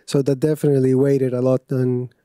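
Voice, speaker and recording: A man answers questions in a very mellow, dark voice. His phrasing is measured and slightly formal. The recording is dry. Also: medium pitch, level tone, no echo. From Costa Rica. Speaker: master's student guest. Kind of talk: casual interview answers.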